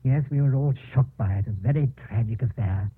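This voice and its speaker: A man playing a troubled, distracted doctor with a halting manner in a 1950s radio drama. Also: medium pitch, slightly rough, natural voice. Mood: troubled, regretful. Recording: muffled, narrow-band 1950s radio broadcast.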